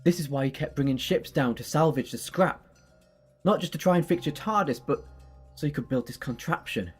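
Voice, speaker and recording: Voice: medium pitch, animated, brisk, slightly rough, soft background music. An actor playing an earnest, compassionate alien hero in a sci-fi audio drama, urgent and apologetic in manner. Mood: grim, explaining. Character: earnest, compassionate alien time-traveller hero, pleading and self-sacrificing.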